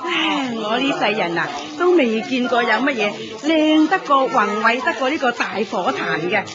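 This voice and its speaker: An actress playing an effusive, chatty temple devotee in a Cantonese radio drama, gushing and repeating herself in a Hong Kong accent. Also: female voice, high-pitched, very animated, normal speed. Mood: awestruck. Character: effusive, chatty, awestruck female temple worshipper. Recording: dull lo-fi recording with crowd bustle.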